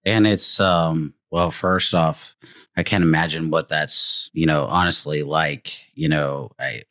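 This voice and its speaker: Very deep-voiced hesitant male host. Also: steady tone, slow, a bit bright, no echo, clean studio sound. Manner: hesitant, many fillers and restarts. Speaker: podcast host. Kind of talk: casual interview chat.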